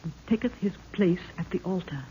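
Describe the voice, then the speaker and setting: Stately, solemn queen acted by a woman, low-fidelity radio. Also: animated, brisk, warm tone, lightly acted. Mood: grave, foreboding. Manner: archaic, stately, declamatory speech. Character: regal, solemn African queen, fearful of cruel priests. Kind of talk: old-time radio serial acting. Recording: muffled, noisy old radio recording.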